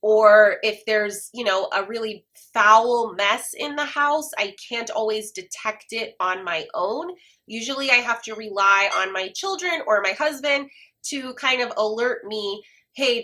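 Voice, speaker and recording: Congested woman, bright voice. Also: fairly high pitch. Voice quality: congested, stuffy-nosed. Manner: earnest, gentle, encouraging. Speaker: homeschooling mom vlogger. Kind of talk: personal talk to camera.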